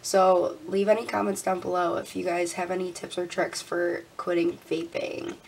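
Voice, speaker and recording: Chatty young woman, slightly raspy. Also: fairly low pitch, flat delivery, normal speed, a little mellow, slight background noise. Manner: chatty, laughs often, counts aloud. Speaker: budgeting vlogger. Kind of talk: casual talk while counting cash. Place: indoors at a desk.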